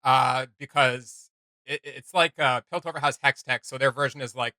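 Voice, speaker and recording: A man's voice: medium pitch, fairly even intonation, moderate pace, sharp tone, no room sound, clean studio sound. A young man co-hosting a reaction video, chatting casually and enthusiastically, with frequent fillers and laughs.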